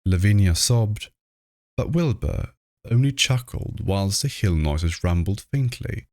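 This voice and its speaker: A man reading classic horror fiction aloud as scripted narration, in a measured, deliberate manner, close to the microphone. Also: low voice, a little mellow, no room sound. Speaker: audiobook reader.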